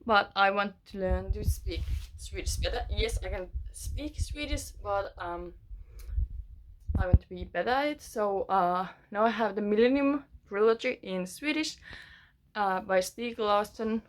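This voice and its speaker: A woman's voice: medium pitch, a little slow, a little hiss or hum, low-quality recording. A young woman with a Finnish accent gives a casual writing-vlog update from her bedroom, rambling with many fillers and restarts. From Finland. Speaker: author vlogger.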